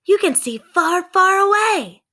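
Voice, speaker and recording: A woman's voice: very high-pitched, expressive, measured pace, sharp tone, voice pushed up, exaggerated voice. A woman voicing an eager, bold seven-year-old girl who exclaims and calls out in a children's storybook read-aloud. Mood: excited, eager.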